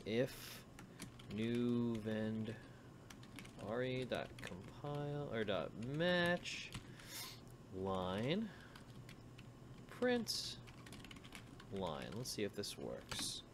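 A man's voice: on the low side, big pitch swings, very slow, long pauses, noisy background. A man giving an informal screencast coding tutorial, thinking aloud as he works through each step. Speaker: programming tutorial instructor.

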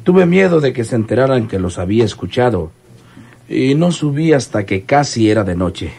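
An actor in a radionovela playing a wary informant who talks hesitantly, in folksy rural phrasing. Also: male voice, medium pitch, very animated, moderate pace, creaky, a little mellow, slightly rough, exaggerated voice. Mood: wary, confiding. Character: wary male informant recounting an overheard murder plot. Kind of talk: old radionovela acting.

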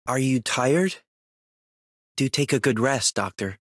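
Young catboy mage for a game, polite n earnest, formal wording, really expressive pitch, dry studio sound. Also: male voice, no echo. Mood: polite, reflective. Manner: courteous, formal, measured. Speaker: video game voice actor. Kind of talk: English dub game voice lines.

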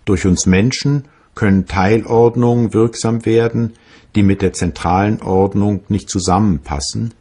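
An actor playing a reflective German physicist reading his memoirs in a husky, measured, philosophical way. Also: lowish voice, dark tone. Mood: pondering.

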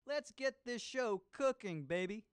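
A groovy seventies disco cooking show host for a cartoon game. He is hammy and upbeat, stretching his words slowly and swinging through wide pitch swoops. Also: expressive, smooth, dry recording, studio quality. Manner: hammy, swinging, drawn-out delivery. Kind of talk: cartoon game voice-over with 1970s disco slang.

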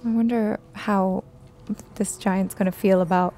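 A woman's voice: mid-range voice, fairly even intonation, unhurried, mellow, soft background music. A woman hosting a reaction video in casual chat, informally thinking aloud as she talks.

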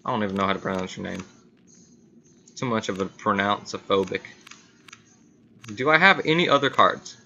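A man's voice: on the low side, moderate pace, gravelly, long pauses, pushed and bright, slight background noise. A man giving casual, playful gameplay commentary, thinking aloud in short bursts and exclamations. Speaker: gamer recording gameplay.

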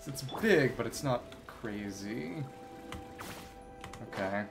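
A man's voice: medium pitch, expressive, slow pace, gravelly. A man giving casual live commentary as a video game streamer, rambling and thinking aloud as he plays. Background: video game music.

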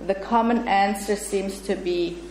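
Slow-talking German woman lecturing in an echoey room. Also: medium pitch, fairly even intonation, a little mellow. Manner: laughs easily and chats with the audience between read passages. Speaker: guest lecturer. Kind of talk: academic lecture, partly read from paper. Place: bright lecture room with projector screen, indoors. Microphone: far camera, room mic. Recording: echoey room with faint noise.

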